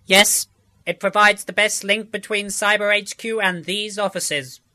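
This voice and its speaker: A man's voice: high-pitched, flat delivery, unhurried, bright, forceful tone, exaggerated voice. An actor playing a sinister male henchman who gloats and threatens. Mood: threatening. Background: music.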